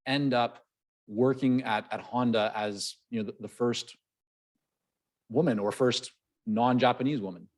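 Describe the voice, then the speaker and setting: A man from Canada, whose first language is Hebrew, hosting a conversational interview in a relaxed way and often repeating words as he thinks. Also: mid-range voice, a little slow, long pauses. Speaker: podcast host.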